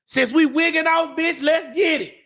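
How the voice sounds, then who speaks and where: A talk-show host ranting in heated, profane, emphatic casual talk. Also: female voice, high voice, normal speed, fairly bright tone.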